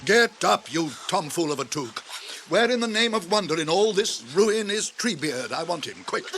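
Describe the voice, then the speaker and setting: A man's voice: high voice, expressive, moderate pace, a bit bright, a little hiss or hum. An older actor in a radio drama playing a stalwart, brusque old man of authority. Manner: brusque, commanding. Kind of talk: 1980s radio drama acting.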